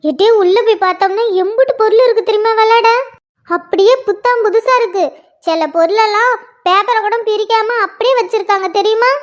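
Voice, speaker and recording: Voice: extremely high pitch, fairly quick. A performer voicing a chatty schoolgirl eagerly telling her sister about school, on a dull lo-fi recording. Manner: eager storytelling. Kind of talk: one-person comedy skit.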